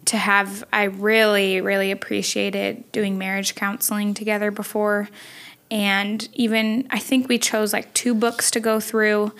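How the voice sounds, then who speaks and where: A young woman speaking softly and thoughtfully in a casual conversation. Her voice carries a noticeable vocal fry, and she pauses on small fillers as she gathers her thoughts. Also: mid-range voice, level tone. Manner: thoughtful, soft-spoken, with fillers. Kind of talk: casual interview chat.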